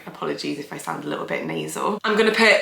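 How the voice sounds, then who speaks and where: A woman's voice: low voice, wildly swooping pitch, fast talker, vocal fry, pushed and bright, echoey room. A young woman, a therapist hosting her channel, sounding a bit nasal and talking in a chatty, thoughtful way with many fillers. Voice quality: nasal from hay fever. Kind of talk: casual video commentary.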